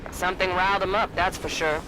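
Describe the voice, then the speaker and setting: Practical squad soldier , male actor, high-pitched for a man, clipped and dry, game scene, loud ambient noise. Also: fairly even intonation, fast, close to an everyday voice. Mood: matter-of-fact. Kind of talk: scripted video game acting.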